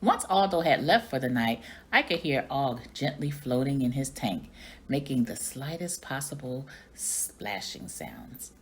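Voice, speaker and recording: A woman reading a children's story aloud like a teacher, with expressive narration. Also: a bass voice, measured pace, slight background noise.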